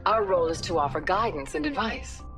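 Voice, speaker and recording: A woman voices a diplomatic alien councilor in a video game. Her delivery is formal and composed, with expressive rises and falls in pitch. Music is clearly heard behind her. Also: lightly acted. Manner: formal, measured. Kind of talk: video game dialogue acting.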